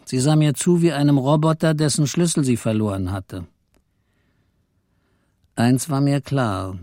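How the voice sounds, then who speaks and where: An actor playing an embittered, henpecked office clerk in a radio play, brooding and theatrical as narrator and husband. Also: male voice, mid-range voice, unhurried, dark tone, no echo, clean studio sound, natural voice. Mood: tense. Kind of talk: radio play, dramatic first-person narration and dialogue.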